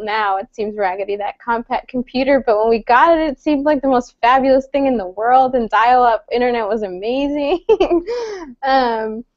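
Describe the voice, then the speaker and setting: A woman's voice: a little high, no echo. Chatty moderator woman, dull dry sound. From El Salvador. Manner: friendly, chatty, many fillers and restarts. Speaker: panel moderator. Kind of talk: online panel discussion.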